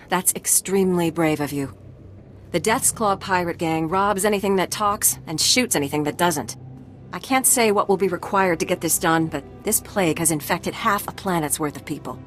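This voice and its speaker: An actress playing a dedicated, earnest and wry scientist in a video game, precise and formal, with music behind. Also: female voice, on the low side, close to an everyday voice.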